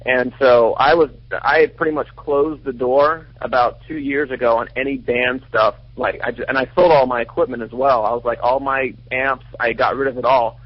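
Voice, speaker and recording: Earnest twenties guitarist, quick talk. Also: male voice, medium pitch, fairly even intonation, no echo. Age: 20s. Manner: earnest, quick, self-correcting. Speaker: band guitarist. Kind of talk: casual radio interview. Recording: dry, muffled lo-fi radio recording.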